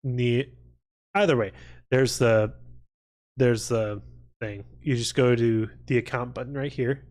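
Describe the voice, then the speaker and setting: A man's voice: mid-range voice, fairly even intonation, a little slow, a little mellow. A man hosting a casual livestream, rambling along and swearing freely. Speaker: livestream host.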